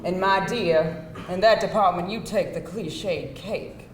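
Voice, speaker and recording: A woman's voice: lowish voice, slow pace, distant mic. Actress as a wry woman in an echoey room. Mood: candid, playful. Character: wry, candid woman on a date with an oddball. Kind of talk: live screenplay table read. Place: indoor live table-read event. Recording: echoey live room, faint noise.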